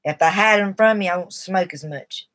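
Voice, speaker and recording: A woman's voice: on the low side, brisk, fairly bright tone. A middle-aged woman, talking earnestly and at length on a live stream while shaky from nicotine withdrawal. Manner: rambling, earnest and confiding. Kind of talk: casual live stream talk.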